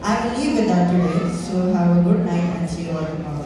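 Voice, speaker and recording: A woman's voice: on the low side, fairly quick, natural voice. A teenage girl playing a parish priest who preaches to the audience in an amateur church skit. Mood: preachy, earnest. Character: patient young parish priest preaching about prayer. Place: large church hall stage, filmed from audience. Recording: echoey hall from far off, noisy crowd.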